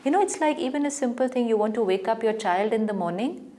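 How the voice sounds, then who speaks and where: A woman with an Indian English accent, calmly explaining spiritual ideas in a gentle, measured way as the teacher on a TV talk show. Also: fairly high pitch. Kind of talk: calm spiritual guidance in a TV talk.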